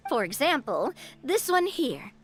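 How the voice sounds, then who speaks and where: A woman's voice: high voice, very animated, a little slow. A woman voicing a confident, mischievous young lady in a video game, friendly, teasing and coaxing. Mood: coaxing, playful. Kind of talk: video game character voice acting. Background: faint game music.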